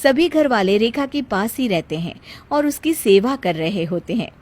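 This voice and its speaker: A woman's voice: fairly high pitch, animated, normal speed, darkish tone. A woman narrating a scripted family story as its storyteller.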